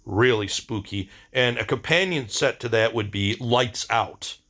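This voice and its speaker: A man's voice: medium pitch, a little slow, a bit bright. A man giving a casual, rambling diary-style monologue, enthusiastic and informal, with some slang. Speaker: video diary host.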